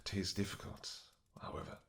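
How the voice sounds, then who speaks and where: A man playing a weary, gentle vampire king who speaks softly and haltingly to his beloved in a romantic fantasy audio roleplay. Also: lightly acted. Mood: earnest, rueful. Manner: soft, halting, gentle, intimate. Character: weary, tender vampire king, doting on his pregnant wife.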